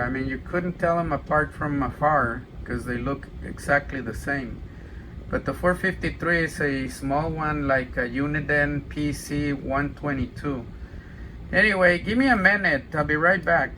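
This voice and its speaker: A man with a slightly high, bright voice talks casually as a radio operator showing off gear. His delivery is slow and hesitant, with light noise behind him. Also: low-quality recording. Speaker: amateur CB radio operator demonstrating a radio for sale. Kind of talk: casual radio chat and product demonstration.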